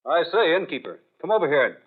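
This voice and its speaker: An actor playing a well-mannered, startled husband lost on the road, with genteel exclamations and an insistent manner, in an old-time radio drama. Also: male voice, fairly high pitch, big pitch swings, brisk. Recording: muffled lo-fi old radio recording.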